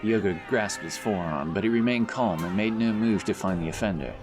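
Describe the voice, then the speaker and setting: A man's voice: lowish voice, a little fast. A man narrating a scripted fantasy audiobook as storyteller. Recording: narration over loud background music. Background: music, outdoor sound effects.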